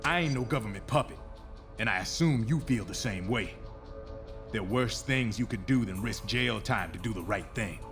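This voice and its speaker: A man's voice: on the low side, expressive, normal speed, lightly acted. Streetwise rebel hero played by a male actor, blunt, defiant, game cutscene, music behind. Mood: resolute, wry. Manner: gruff-worded, slangy, blunt. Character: defiant, streetwise, tough male superhero resistance leader. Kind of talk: video game cutscene acting.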